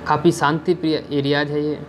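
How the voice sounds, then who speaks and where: A young man talks chattily to his viewers while out on a hill road, pointing out views and handing out safety tips. His voice sits a little higher than most men's, with a faint hiss of outdoor air behind him. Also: flat delivery, a little fast. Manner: chatty, addresses viewers, gives safety advice. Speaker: travel vlogger. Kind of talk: narrated travel vlog.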